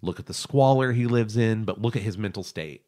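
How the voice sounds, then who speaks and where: A man's voice: lowish voice, fairly quick, a little mellow. A man hosting an analytical discussion podcast, speaking in an emphatic, opinionated way. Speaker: podcast host discussing animation.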